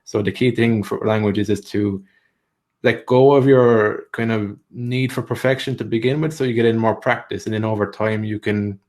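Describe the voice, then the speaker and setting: A man's voice: on the low side, steady tone, moderate pace, a little mellow. A man giving language-learning tips in a live training session, explaining steadily with frequent "so" and "like".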